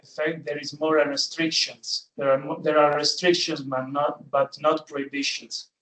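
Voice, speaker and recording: A man's voice: a little high, flat delivery, moderate pace, a bit bright. A man contributing to an online panel discussion from an echoey room. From Mexico. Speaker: panel participant. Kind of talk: discussion on a video call. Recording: echoey room on video call.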